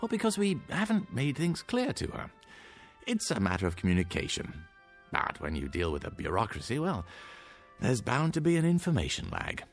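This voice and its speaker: A genial radio-drama doctor who talks down to people. His delivery swings dramatically up and down, full of little hesitations, over clearly heard music. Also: medium pitch, wildly swooping pitch, moderate pace. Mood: reassuring, patronizing. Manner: chuckling, condescending, hesitant asides. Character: genial, smoothly patronizing male doctor.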